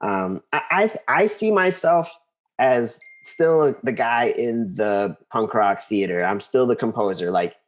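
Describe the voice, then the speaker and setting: Earnest American man, halting storyteller. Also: mid-range voice, animated. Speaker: interview guest, composer and conductor. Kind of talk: casual personal storytelling in an interview.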